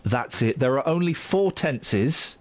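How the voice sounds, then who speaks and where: A man's voice: mid-range voice, expressive, slow. A male radio presenter in a studio, bantering in a playful, teasing, sing-song way.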